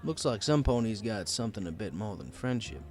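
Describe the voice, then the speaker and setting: Guy doing a folksy pony barkeep, casual n teasing, real animated, storybook dialogue. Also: lowish voice, fairly quick, a little hiss or hum. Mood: curious, sympathetic. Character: wry, folksy male pony bartender, sympathetic but teasing. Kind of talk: audiobook character dialogue.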